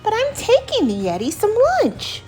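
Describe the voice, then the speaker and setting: An excited, plucky young boy from a storybook, acted out by a woman reader. The voice leaps high with hopeful exclamations and swings widely, over soft music. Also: moderate pace, exaggerated voice. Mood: polite, apologetic. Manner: exclaiming, eager.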